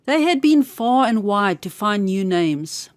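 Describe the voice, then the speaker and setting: A woman reading a scripted fairy tale for children as a storyteller, expressive and carefully enunciated. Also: mid-range voice, a little slow, no echo.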